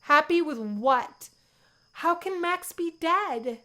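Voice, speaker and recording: A woman's voice: very high-pitched, lively intonation, takes it slowly, pushed and bright, voice pushed up, heavily acted. A woman voicing a shy, anxious young college woman in a visual novel, easily flustered. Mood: outraged. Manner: flustered, stammering when upset.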